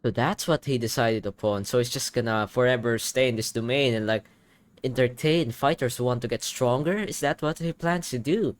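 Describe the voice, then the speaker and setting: A man's voice: mid-range voice, normal speed. A young man giving casual, informal gaming reaction commentary, thinking aloud as he goes. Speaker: gaming reaction video host.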